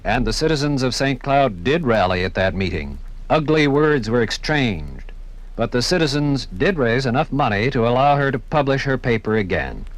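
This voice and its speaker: An American man narrating a scripted 1950s radio documentary in a measured, formal storytelling manner. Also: medium pitch, expressive, rough recording. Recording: 1950s radio broadcast from vinyl record.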